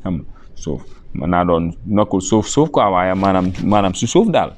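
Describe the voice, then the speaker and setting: A man's voice: low-pitched, lively intonation, a little slow, slight background noise. A man from Senegal with a Senegalese accent, a native Wolof speaker, giving an informal language lesson, repeating phrases and explaining them as he switches between languages. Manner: repetitive, mixes languages while explaining. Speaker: language teacher.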